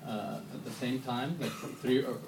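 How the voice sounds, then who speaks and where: A man's voice: medium pitch, steady tone, normal speed, creaky. A man answering audience questions at a university screening, thoughtful, with many ums and restarts. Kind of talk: public Q&A answers. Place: university auditorium. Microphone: handheld camera from the audience. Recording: echoey hall, far handheld camera, noisy.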